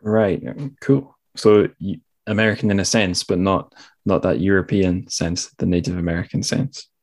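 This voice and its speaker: A man's voice: deep voice, level tone, moderate pace. A man hosting a podcast, chatting informally and rambling a little in a conversational way. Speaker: podcast host. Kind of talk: casual podcast chat.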